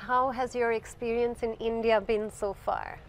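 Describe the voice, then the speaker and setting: A woman hosting a live interview inside a parked car, bubbly and quick to laugh, reading out viewer questions. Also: on the high side, fairly even intonation. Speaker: host. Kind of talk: upbeat hosted interview.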